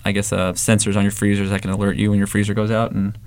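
A man's voice: on the low side, quick pace, no room sound. A young man from the Valley, co-owner of an ice cream shop, telling stories casually with lots of fillers and restarts. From Los Angeles, San Fernando Valley. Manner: relaxed storytelling, many fillers and restarts. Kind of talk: casual interview answers.

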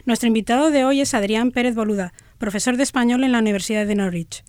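A woman's voice: on the high side, fast, a little mellow, dry recording, studio quality. A woman hosting a radio interview, reading her welcome and then asking the guest questions. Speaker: radio host.

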